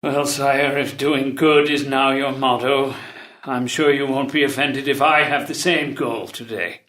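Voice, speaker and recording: A man's voice: medium pitch, fairly even intonation, a little slow, fairly bright tone, natural voice. An actor playing a humble, loyal old counselor to a king, theatrically, in a classical drama reading. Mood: pleading, defiant. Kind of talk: unrehearsed table read of classical verse drama. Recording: echoey room.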